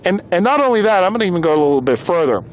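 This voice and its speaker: Higher-voiced American man with noisy background. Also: sing-song, rapid-fire. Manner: exasperated, informal and emphatic. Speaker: podcast host. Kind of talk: casual opinion commentary.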